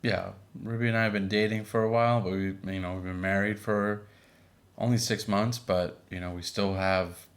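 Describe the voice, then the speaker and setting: A man in his thirties co-hosting a podcast with his wife, chatting casually with a relaxed, filler-laden manner. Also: fairly low pitch, moderate pace. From Boston area. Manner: relaxed, many fillers and restarts. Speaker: podcast co-host. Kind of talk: casual chat.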